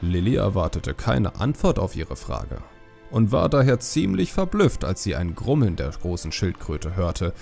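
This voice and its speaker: Guy narrating a fantasy audiobook, mellow n creaky low voice, soft music behind. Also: sing-song, unhurried. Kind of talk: scripted fantasy story narration.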